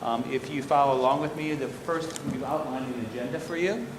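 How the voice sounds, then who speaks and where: Middle-aged male presenter, mid-pitched and loud, pitching a program to a board with "um"s and "okay?" tags, faint noise in the room. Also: fairly quick. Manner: loud-voiced, with frequent "um" and "okay?" check-ins. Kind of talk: business presentation. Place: school district meeting room.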